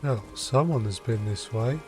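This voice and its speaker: A man's voice: mid-range voice, unhurried. Male game streamer over background music. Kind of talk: casual live gameplay commentary, reading dialogue options aloud.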